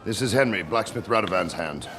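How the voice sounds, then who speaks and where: Low-voiced deferential guard captain. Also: male voice, expressive, brisk, background noise. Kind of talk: video game dialogue acting. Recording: loud music under the voice.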